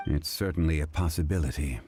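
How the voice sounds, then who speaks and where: Deep, dark, composed adventurer. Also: male voice, husky, full character voice. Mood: grave, analytical. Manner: measured, serious. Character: composed, stern, analytical adult male adventurer. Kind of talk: video game voice acting.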